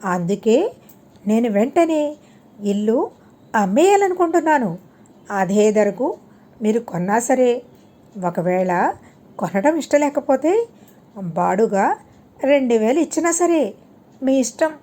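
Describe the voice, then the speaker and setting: A woman's voice: fairly high pitch, lively intonation, takes it slowly, pauses often, mellow. A woman voicing a shrewd, polite but firm male landlord in an audiobook story, spelling out sums step by step. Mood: calculating, insistent.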